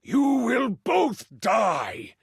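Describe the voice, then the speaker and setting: A man playing an ancient, cruel, self-pitying villain king in a video game, speaking slowly and theatrically with grand menace. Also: fairly low pitch, very animated, vocal fry, a bit bright, slightly rough, dry recording, clean studio sound, exaggerated voice. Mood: enraged. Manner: slow, menacing, theatrical delivery.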